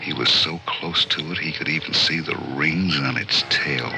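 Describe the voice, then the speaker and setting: A man narrating an old radio thriller in a grim, suspenseful, deliberate manner. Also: a bass voice, vocal fry, rough recording. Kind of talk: dramatic scripted narration, 1940s-50s radio thriller. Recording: old radio recording, loud music behind.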